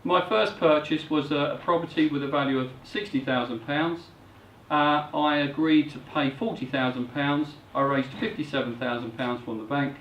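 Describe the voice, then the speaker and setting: A middle-aged man giving a businesslike seminar presentation in a plain, measured way. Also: medium pitch, slight background noise. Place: hotel function room. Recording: far-off, echoey, dull room recording.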